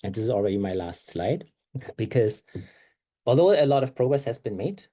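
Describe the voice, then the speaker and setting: A man's voice: fairly low pitch, lively intonation, moderate pace, mellow. A man with a German accent, a native German speaker, giving a conference talk with slides in an explanatory way, with frequent fillers and self-corrections.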